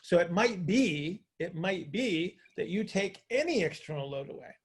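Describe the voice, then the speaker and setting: A man's voice: high-pitched, lively intonation, moderate pace, breathy edge. A man coaching on a group call in a conversational, teacherly way, often checking understanding with questions and repeating phrases for emphasis.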